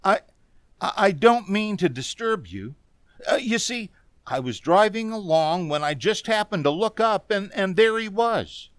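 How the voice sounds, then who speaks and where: Man acting a slow, haunted, high-voiced male driver. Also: animated, dry recording, studio quality. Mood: pleading, agitated. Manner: halting, stammering, anxious. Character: haunted, frightened man driver recounting his eerie road journey. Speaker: amateur community theater actor. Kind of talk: dramatic first-person radio play narration. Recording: music under the voice.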